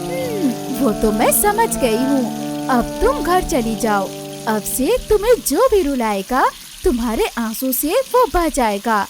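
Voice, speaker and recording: A performer plays a benevolent water goddess in a cartoon, calm and solemn. Her voice is high and unhurried, with clear music playing underneath. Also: full character voice.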